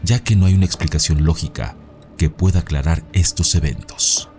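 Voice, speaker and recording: Male narrator, extremely low voice, for scripted paranormal mystery videos over faint music. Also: normal speed, a little mellow.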